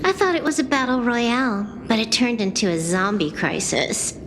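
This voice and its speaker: A woman's voice: on the high side, sing-song, normal speed, noisy background. An actress playing a sly, nonchalant young female hacker in a video game, with a dry, teasing way of talking. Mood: casual, teasing. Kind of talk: scripted video game voice acting. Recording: loud music under the voice. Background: game music.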